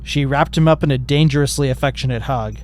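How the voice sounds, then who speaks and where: A man's voice: mid-range voice, fast talker, a little mellow, slight background noise. A man narrating a fan fiction story in a plain, matter-of-fact way.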